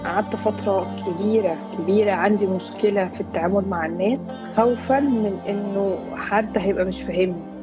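A woman from Egypt with an Egyptian Arabic accent and a husky voice, recounting an anecdote as an interviewee. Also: mid-range voice, fairly quick, loud music behind the voice. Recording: dull lo-fi recording.

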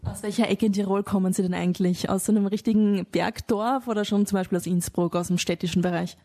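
A woman's voice: medium pitch, steady tone, quick pace, warm tone. A woman interviewing a guest, asking friendly, probing questions and often ending with a tag question. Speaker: interviewer, reporter.

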